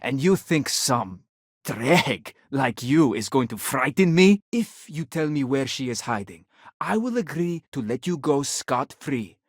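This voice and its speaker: An actor playing a wealthy, stubborn, indignant father in a video game, speaking in a formal, exasperated way with a husky voice. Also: mid-range voice, very animated, unhurried, no echo, studio quality, heavily acted. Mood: indignant, threatening. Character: wealthy, indignant, stubborn father hunting his runaway daughter.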